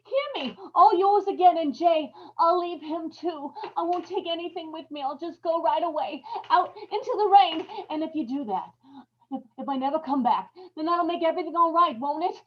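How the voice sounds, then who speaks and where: A high-voiced woman in an old-time radio horror drama, desperate and wracked with guilt. She pleads in broken phrases and cries out, and the recording is dull and lo-fi. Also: normal speed, a little hiss or hum. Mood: frightened pleading.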